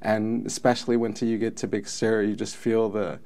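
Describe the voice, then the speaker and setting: A man's voice: fairly low pitch, little pitch movement, moderate pace, darkish tone. A man in his thirties from Mexico, a native Spanish speaker, answering interview questions in an earnest, reflective way. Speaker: film commission president. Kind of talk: interview guest.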